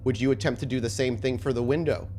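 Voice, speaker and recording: A man's voice: medium pitch, fast talker, mellow, a little hiss or hum. A man running a tabletop roleplaying game as game master, narrating scenes descriptively and conversationally.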